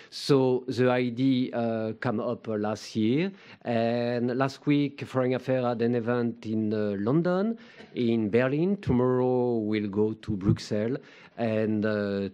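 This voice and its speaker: A man's voice: on the low side, dark tone. A man from New York introducing the speakers at a conference, hesitant and full of fillers. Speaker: event organizer. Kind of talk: formal introduction.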